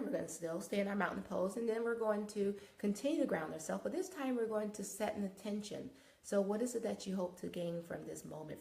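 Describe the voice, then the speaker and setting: Woman, yoga instructor, gravelly, sniffly, encouraging, echoey room. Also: mid-range voice, darkish tone. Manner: encouraging, conversational with frequent okays. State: sniffling after nose surgery.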